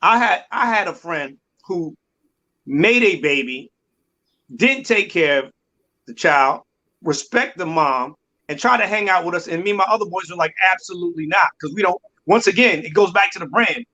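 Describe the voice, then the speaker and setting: A man's voice: sits high, expressive, normal speed, sharp tone. A man in his forties on a casual discussion panel, talking in an emphatic, preachy way and repeating words for stress. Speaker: podcast panelist.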